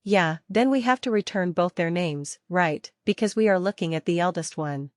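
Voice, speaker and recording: A woman with a slightly low voice leads a software training lesson. She explains things in small steps, restates key points and keeps putting questions to her learners. The sound is dry, with very little room in it. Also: moderate pace, no room sound. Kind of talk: interactive step-by-step teaching.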